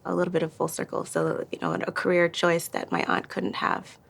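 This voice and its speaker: A woman in her forties with a somewhat low, gravelly voice. She talks in a measured, self-assured way, sharing her views in a relaxed conversation. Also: slight background noise. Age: 40s. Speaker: interviewee and interviewer. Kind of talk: candid conversation.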